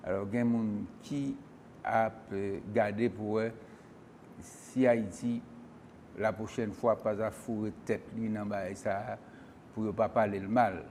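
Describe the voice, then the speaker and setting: A man's voice: fairly low pitch, steady tone, unhurried, a little mellow, a little hiss or hum. A man hosting a call-in political talk show, who questions and presses his guest.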